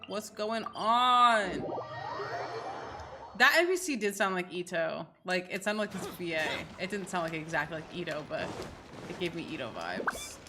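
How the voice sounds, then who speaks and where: A woman's voice: on the low side, lively intonation, moderate pace. A woman doing casual livestream game commentary, chatty, reactive and slangy. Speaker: game streamer. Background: game music.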